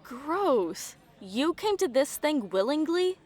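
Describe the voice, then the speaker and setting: Woman playing a forthright, teasing higher-voiced woman. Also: very animated, slow pace, a little mellow, heavily acted. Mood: teasing, casual. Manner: casual, blunt banter. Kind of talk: audio drama acting.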